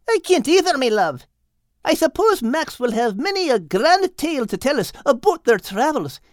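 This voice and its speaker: A storybook male seagull, pitched well above an ordinary man's voice. He is folksy and reassuring, an affectionate husband, with a very animated melody. The sound is dry and close. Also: moderate pace, no room sound. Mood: jolly.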